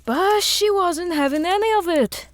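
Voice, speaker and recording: A woman playing an inquisitive, excitable monster hunter in an audio drama. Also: extremely high pitch, measured pace, no room sound.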